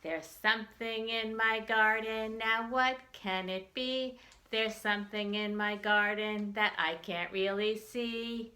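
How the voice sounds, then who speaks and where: A woman storyteller leading a children's storytime, with an animated, sing-song, encouraging way of talking and questions to young listeners. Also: medium pitch, slow pace.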